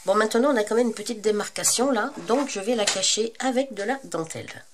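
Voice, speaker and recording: A woman's voice: fairly high pitch, brisk, background noise. A woman with a French accent hosting a crafting tutorial while she has a cold, talking casually and hesitantly, with many fillers and self-corrections. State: congested with a cold. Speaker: crafting channel host.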